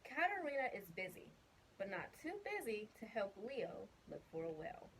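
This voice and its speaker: A woman's voice: medium pitch, big pitch swings, moderate pace, a bit bright, slight background noise, poor audio. A woman reading a children's picture book aloud casually, chatting to young listeners with questions and asides. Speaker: storybook reader.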